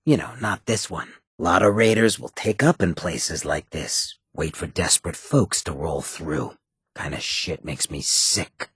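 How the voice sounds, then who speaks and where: A roguish ghoul companion from a video game, with a heavily rasping, fairly low voice. He drawls street slang with laid-back swagger and sardonic loyalty. The sound is dry, with no room on it. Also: measured pace, fairly bright tone, no echo, heavily acted. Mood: wry, playful. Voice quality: gravelly, raspy rasp.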